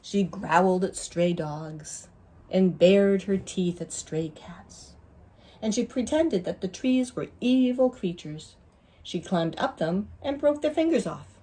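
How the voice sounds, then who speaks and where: Slow, husky woman reading to children. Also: mid-range voice, expressive, dark tone. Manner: deliberate, pausing to ask listeners questions. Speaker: children's story reader. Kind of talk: expressive picture-book read-aloud for young children.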